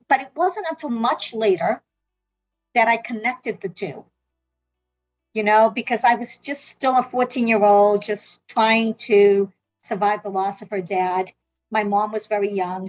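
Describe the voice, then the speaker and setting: A woman's voice: medium pitch, darkish tone, highs cut off. A middle-aged woman from South Africa whose first language is Portuguese, earnestly telling her story in an interview with many fillers and restarts. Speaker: interviewee, medical interpreting leader. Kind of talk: reflective personal storytelling.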